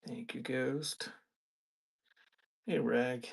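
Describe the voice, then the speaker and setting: Gravelly-voiced man chatting casually on a livestream. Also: medium pitch, steady tone, extremely slow. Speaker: live auction seller, host. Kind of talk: casual off-the-cuff livestream chat.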